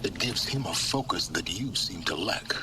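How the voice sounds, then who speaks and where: An actor playing a commanding, secretive guide in a video game, giving curt, grave, clipped orders. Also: male voice, low-pitched, animated, normal speed, background noise. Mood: stern, impatient. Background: loud music.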